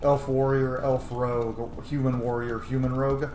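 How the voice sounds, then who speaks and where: A man's voice: medium pitch, little pitch movement, moderate pace. A man giving casual, rambling, playfully dry commentary as he streams a video game. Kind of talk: casual let's play commentary. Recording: roomy echo, loud background game noise.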